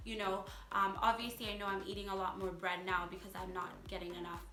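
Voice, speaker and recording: A woman's voice: medium pitch, level tone, quick pace. A young adult woman vlogger talking casually and motivationally to her followers, chatty and candid, with emphatic turns of phrase. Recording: echoey room, music underneath. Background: music.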